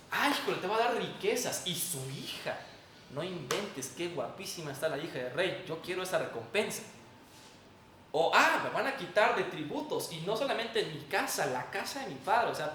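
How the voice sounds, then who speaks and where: Mexican guy preaching, pretty high voice, echoey church room w faint noise. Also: sing-song, normal speed, pushed and bright, far from the mic. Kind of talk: sermon with Bible reading and prayer.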